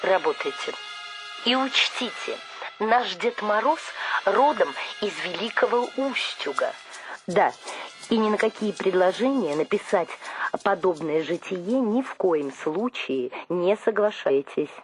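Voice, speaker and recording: Brisk female official played by an actress, businesslike, secretive, insistent, radio drama, music underneath. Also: mid-range voice, lively intonation, natural voice, low-quality recording. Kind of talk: radio play acting.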